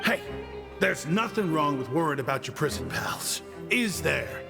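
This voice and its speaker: An actor voicing a gossipy, chummy male prison inmate in a video game, with a gravelly voice. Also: fairly high pitch, sing-song, measured pace, exaggerated voice. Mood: defensive, chummy. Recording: game music playing behind.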